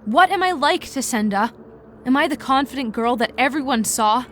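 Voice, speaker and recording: A woman's voice: sits high, animated, normal speed, a bit bright. A woman playing an embittered, obsessive young villainess in a fantasy audiobook, intense and self-justifying. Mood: bitter, intense. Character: embittered, obsessive young woman, dangerous, power-hungry twin sister. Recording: clear ambient noise behind voice.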